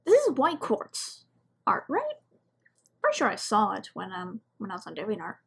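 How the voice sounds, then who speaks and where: A woman giving casual reaction commentary on an animation, murmuring short asides and reading names haltingly. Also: medium pitch, huge pitch swings, vocal fry, husky. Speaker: reaction video host. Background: music from the video being watched.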